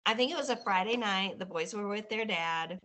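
A woman, a podcast guest chatting casually and reflectively, with many pauses and fillers. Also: mid-range voice, sharp tone. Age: 40s. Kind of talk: casual chat.

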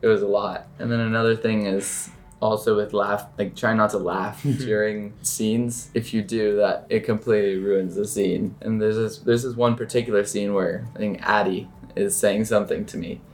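A young man, a student actor, speaking in a steady, matter-of-fact way in a casual panel chat. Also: lowish voice, moderate pace, slight background noise.

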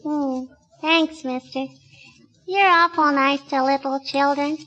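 Squeaky, pert little girl. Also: steady tone, takes it slowly, dull audio, noisy background. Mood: cheeky. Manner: childish, sassy, repeats catchphrases. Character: pert, nosy little girl who pesters a neighbour. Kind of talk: 1940s radio comedy acting. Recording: vintage 1940s radio broadcast.

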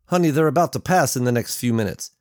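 Man acting an anxious, protective dad, raised pitch. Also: lively intonation, quick pace, a little mellow, dry recording, studio quality, close to an everyday voice. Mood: hushed concern. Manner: agitated, urgent. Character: anxious, protective suburban father of a young girl.

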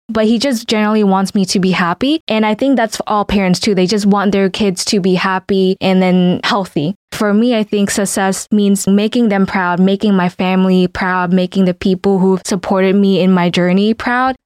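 A woman's voice: mid-range voice, no echo, studio quality. Earnest young woman co-hosting a podcast. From Vietnam. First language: Vietnamese. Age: 20s. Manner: earnest, reflective, flowing. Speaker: podcast co-host. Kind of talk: casual chat.

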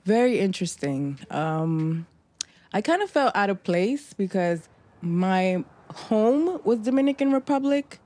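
A woman raised in the Bronx, a mutual aid organizer, talking as a guest in a casual interview, relaxed and reflective with lots of "like" and "um". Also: on the low side. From New York, raised in the Bronx.

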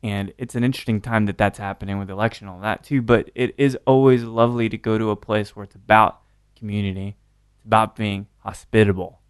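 A man's voice: on the low side, steady tone, fast, clean tone, dry recording, studio quality. A man hosting a casual interview, chatty and upbeat, often tagging his sentences with "right?". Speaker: host.

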